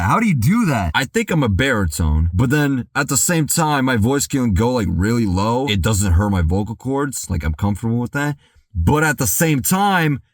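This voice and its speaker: A young man talking through a casual music reaction, rambling and excitable, with lots of "dude" and "like", a slightly worn voice, and loud outbursts when he gets hyped. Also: medium pitch, no echo, studio quality. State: voice worn from singing practice.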